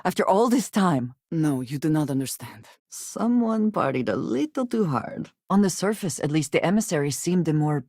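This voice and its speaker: An actress playing a guarded, serious woman space smuggler in a video game, speaking in measured, formal sentences with dry reserve. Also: deep voice, very animated, darkish tone, dry recording. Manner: measured, formal speech with few contractions. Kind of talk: video game companion dialogue.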